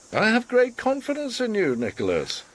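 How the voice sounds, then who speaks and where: An actor playing a manipulative, eccentric older man in a radio drama, measured, formal and theatrically commanding. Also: sits high, wildly swooping pitch, heavily acted. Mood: measured, knowing. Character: manipulative, eccentric, authoritative older male host, a self-styled psychiatrist.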